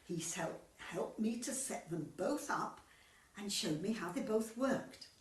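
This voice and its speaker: A woman's voice: medium pitch, lively intonation, slow, far from the mic. A woman playing a sweet, scatty elderly widow in an amateur radio comedy, with a fussy, rambling manner. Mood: flustered, earnest. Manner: fussy, flustered, innocently rambling. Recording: echoey room, off the mic.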